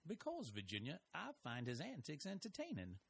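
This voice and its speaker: A man's voice: on the high side, huge pitch swings, normal speed, vocal fry, slightly rough, full character voice. An actor playing a folksy, wry older male investigator who narrates his story. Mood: teasing. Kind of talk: audio drama narration and acting.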